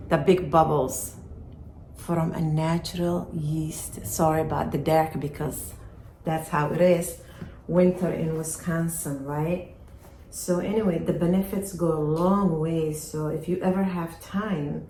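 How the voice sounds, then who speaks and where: Middle-aged woman from Somalia hosting a kitchen vlog, slow and conversational, roomy sound. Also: fairly low pitch, darkish tone, slight background noise. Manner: chatty, asks viewers "right?". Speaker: home baker and farm vlogger. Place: home kitchen.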